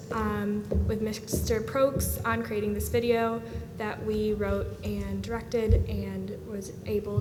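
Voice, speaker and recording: A teenage girl delivers a formal report at a school board meeting. She speaks in a flat, even line, earnest and steady, pausing often on "um". Her voice carries a long reverb through the meeting room, and a clear hum of background noise sits under it. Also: fairly high pitch. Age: late teens. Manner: steady and earnest, frequent "um" pauses. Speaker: student association president.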